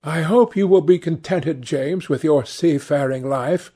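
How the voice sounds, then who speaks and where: A man's voice: fairly high pitch, animated, unhurried, creaky, a little mellow, slightly rough, voice pushed up, exaggerated voice. A man voicing an affected, self-dramatising middle-aged mother in a storybook, genteel and plaintive in manner. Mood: stiff, complaining.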